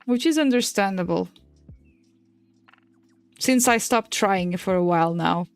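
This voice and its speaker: Slow, smooth-voiced young woman streamer. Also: medium pitch, no echo, faint music behind. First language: Portuguese. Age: 20s. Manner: chatty, swears and laughs often. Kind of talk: casual chat while drawing.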